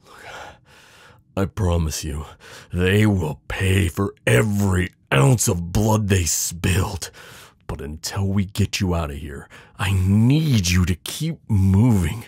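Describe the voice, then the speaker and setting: A man playing a devoted, protective knight in an intimate fantasy romance audio roleplay, urgent and pleading with hushed reassurance. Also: deep voice, expressive, takes it slowly, gravelly, husky, no room sound, studio quality, exaggerated voice. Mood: tense, protective. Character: devoted protective male knight, desperate, tender lover.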